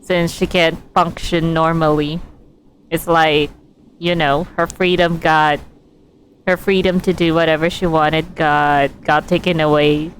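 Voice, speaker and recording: A woman streaming a game with casual, halting commentary, giggly and thinking aloud. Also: sits low, flat delivery, a little hiss or hum.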